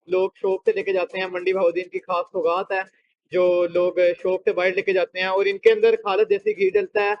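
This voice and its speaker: A man's voice: sits high, slight background noise. A man, a sweet shop owner answering a reporter earnestly in his factory. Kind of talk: news interview answers. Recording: dull lo-fi field recording.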